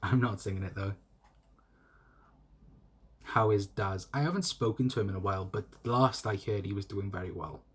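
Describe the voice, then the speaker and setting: Young South Welsh man with a breathy, slightly low voice who rambles and repeats himself while hosting a solo fan stream. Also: moderate pace, long pauses. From South Wales. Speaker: fan channel host. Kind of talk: solo livestream, reading chat comments.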